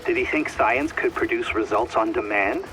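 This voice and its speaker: Man playing an earnest principled game companion, mid pitch, noisy rumbly background. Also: moderate pace, bright, forceful tone, close to an everyday voice. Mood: indignant. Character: earnest, compassionate male companion, troubled and morally indignant. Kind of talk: video game cutscene acting.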